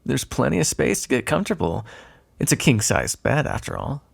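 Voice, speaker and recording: A man playing a smug, predatory vampire in an ASMR roleplay, taunting and flirtatious, with a gravelly, breathy voice. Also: mid-range voice, very animated, brisk, full character voice. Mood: menacing flirtation. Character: predatory, teasing male vampire, smug and seductive.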